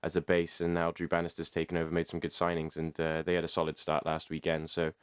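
A young man from England answers questions in a very deep, smooth voice with a flat tone. He talks fast and matter-of-fact, sounding close and dry on the mic. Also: no room sound. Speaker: ice hockey player. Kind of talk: interview answers.

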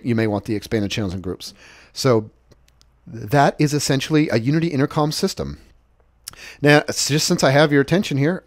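A man's voice: medium pitch, a little mellow, no echo, clean studio sound. A man presenting a product in a casual, conversational explainer style, recapping points as he walks through slides.

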